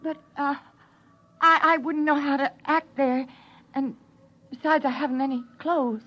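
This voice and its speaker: An actress playing a gentle, timid mother with a breathy, faltering voice in a 1950s radio drama. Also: high voice, steady tone, measured pace. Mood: tender. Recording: dull old radio broadcast recording.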